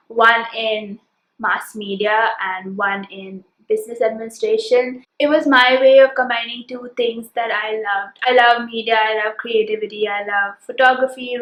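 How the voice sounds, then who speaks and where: Young woman, Indian English accent, somewhat high voice, earnest and reflective, echoey room. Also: a bit bright. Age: 20s. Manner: earnest, reflective, listing things one by one. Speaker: vlogger. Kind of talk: casual Q&A vlog.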